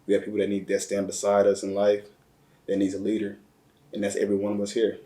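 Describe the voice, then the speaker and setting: A man with a very deep voice, speaking in an almost unchanging monotone. He tells his story slowly, restarting words as he goes. The room gives his voice a noticeable echo. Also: a little hiss or hum. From Chicago area, Illinois. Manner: slow, flat delivery with repeated words and slang. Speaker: interview guest. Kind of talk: reflective personal storytelling. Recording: roomy echo.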